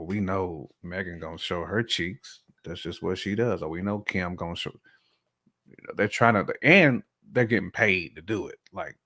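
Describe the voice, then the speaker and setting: A man's voice: deep voice, a bit bright. An American man co-hosting a casual, opinionated chat, speaking bluntly in slang and repeating phrases for emphasis. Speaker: podcast co-host.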